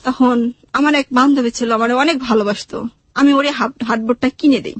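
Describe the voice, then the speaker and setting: High-pitched Bangladeshi woman telling her story. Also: fairly even intonation, fairly quick, no room sound. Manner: plaintive, acts out remembered dialogue. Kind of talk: emotional personal storytelling. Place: radio studio.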